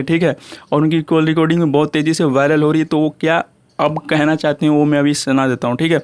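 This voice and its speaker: A man with an Indian accent hosting an online channel, giving informal gossip news commentary and addressing viewers as friends in a repetitive way. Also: on the high side. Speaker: YouTube channel host.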